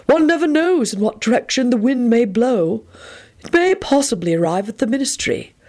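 Old wizard guy a woman doing him, slow and fancy talking, bouncy pitch, kinda sleepy. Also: lively intonation, a little mellow. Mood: serene. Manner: slow, lofty and mannered. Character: aged male wizard headmaster, drowsy and whimsical.

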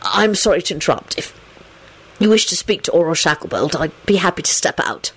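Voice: medium pitch, very animated, a little fast, a bit bright, slightly rough, full character voice. A storybook reader voicing a mild-mannered young male soldier who speaks courteously and earnestly, a little awkwardly. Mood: polite.

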